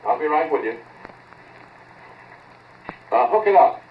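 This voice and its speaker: A man's voice: a little high, expressive, a little slow. A male actor playing an impatient, bossy inventor in terse 1940s radio drama style. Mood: preoccupied. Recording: dull, noisy 1940s radio recording.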